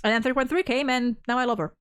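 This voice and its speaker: A woman's voice: fairly high pitch, fast, fairly bright tone, smooth. A woman host talking casually about a video game, rambling and repeating words as she goes, with the odd casual swear.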